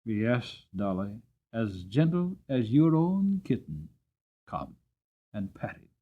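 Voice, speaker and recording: A man's voice: medium pitch, big pitch swings, slow, breathy edge, phone-quality sound, heavily acted. A man voicing a kindly, cheerful Victorian cabman and father in a storybook, hearty and affectionate. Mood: gentle, reassuring.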